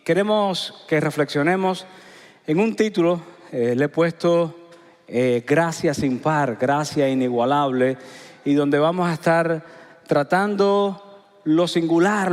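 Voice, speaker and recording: A middle-aged man with a Cuban accent, preaching a sermon in a conversational way with frequent filler pauses. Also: fairly high pitch. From Cuba. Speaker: pastor. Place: large church hall. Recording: long hall reverb.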